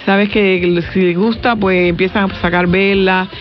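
A woman's voice: medium pitch, steady tone, a little slow. A woman from Rico, a songwriter guest in a casual radio interview, talking hesitantly with many filler sounds. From Puerto Rico. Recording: dull lo-fi radio audio with faint music.

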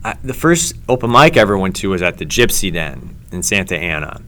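A man with a very low voice talks through old stories in a loose, rambling way. His tone is bright and smooth, full of ums and restarts as he gathers his thoughts. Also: very animated, a little fast. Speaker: comedy show host. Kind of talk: casual podcast chat.

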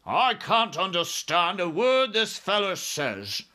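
Guy doing a hot-headed army boss from a kids storybook, loud forceful bark, pitched up, indignant. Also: big pitch swings, measured pace, full character voice. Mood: exasperated. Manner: indignant, barking, clipped military talk. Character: blustering, hot-tempered male army commander. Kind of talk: storybook character voice.

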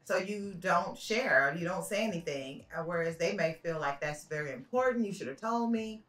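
A woman's voice: lowish voice, animated, moderate pace, fairly bright tone. A woman in her fifties, a mother guest on a family podcast, explaining her views thoughtfully. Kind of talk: casual podcast chat. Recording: roomy, distant mic, faint music.

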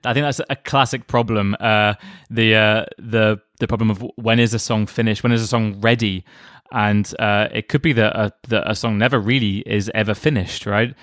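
A man co-hosting a relaxed music talk show, musing with lots of fillers and restarts. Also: lowish voice, normal speed, few pauses, dry recording, studio quality. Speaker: podcast co-host. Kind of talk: relaxed conversational chat.

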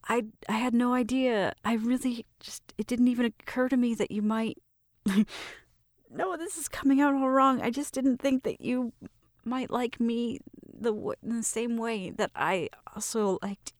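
A woman's voice: fairly high pitch, unhurried, no room sound, clean studio sound, natural voice. A woman playing a shy, smitten young roommate in an intimate roleplay, nervous and stammering as she talks to the listener. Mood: flustered, hopeful. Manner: nervous, stammering, giggly, self-interrupting.

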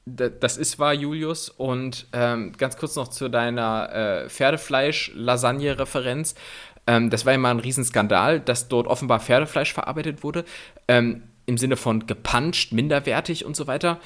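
A man's voice: medium pitch, moderate pace, a bit bright. Hesitant man in casual podcast chat. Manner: hesitant, many fillers and restarts, wry. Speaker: podcast co-host.

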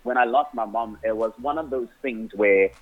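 A man's voice: medium pitch, moderate pace. Man with a dull radio sound. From South Africa, Cape Flats. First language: Afrikaans. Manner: rambling, many restarts, laughs often. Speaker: musician guest. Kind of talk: casual interview answers.

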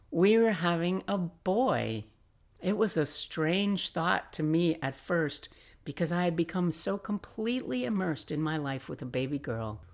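A woman in her sixties narrating personal memories as a podcast host, reflective and expressive with wry asides. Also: on the low side, gravelly. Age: 60s. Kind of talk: personal memoir storytelling, scripted narration.

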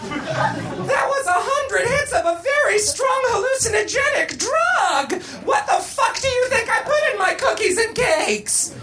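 A woman's voice: very high voice, big pitch swings, normal speed, few pauses, echoey room, far from the mic, crowd noise, voice pushed up. A woman voicing a crude, domineering older woman cook who bellows and screams in panic, in a comic story reading. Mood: frantic fury.

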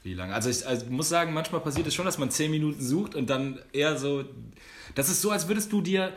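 A man hosting a casual chat, joking and animated, using slang and laughing often. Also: on the high side, fast talker. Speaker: podcast host. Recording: roomy echo.

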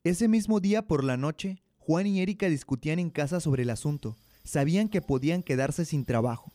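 A man's voice: fairly high pitch, normal speed, warm tone, no room sound. A young man with a Mexican accent narrating a scripted radio drama. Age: young adult.

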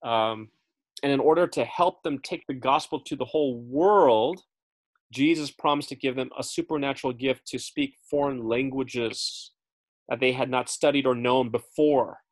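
A man's voice: medium pitch, moderate pace. A man teaching a Bible study in a casual, chatty way, often checking in with his listeners and correcting himself as he goes. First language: English. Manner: frequent fillers and self-corrections.

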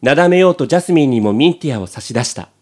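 A man's voice: mid-range voice, animated, racing, background noise. A man reading scripted narration for a comic radio drama.

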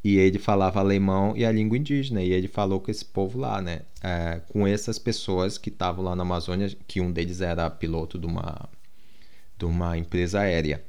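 A man's voice: low-pitched, steady tone, fairly quick, darkish tone, clear, resonant tone. A Brazilian man with a Brazilian Portuguese accent, talking casually to his viewers in a rambling monologue full of restarts and fillers. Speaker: vlogger.

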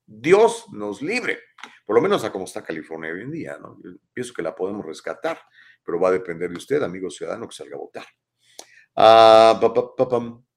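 A man in his fifties hosts a live talk show, reading and answering viewers' comments. His voice sits fairly low, and he talks in an animated, emphatic way, full of rhetorical questions. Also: gravelly. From Mexico. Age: 50s.

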